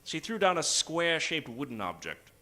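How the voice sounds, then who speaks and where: Animated, dramatic male radio narrator. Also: a little high. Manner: dramatic, deliberate pauses. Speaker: narrator of improvised radio comedy. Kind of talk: old-time radio melodrama narration.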